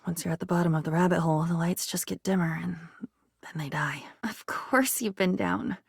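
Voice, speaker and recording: A woman's voice: fairly low pitch, a little fast, vocal fry, breathy edge, dry recording, clean studio sound. A woman playing an anxious, insecure living diary in a video game, morbid and erratic, with fragmented, theatrical delivery that veers between muttering and outbursts. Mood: uneasy, brooding, then hushed, haunted.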